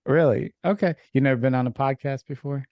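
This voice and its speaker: A man from Ukraine whose first language is Russian, hosting a podcast over a video call in relaxed, filler-heavy chat. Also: medium pitch, fairly quick, no room sound, dull audio. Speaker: podcast host. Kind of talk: casual interview chat.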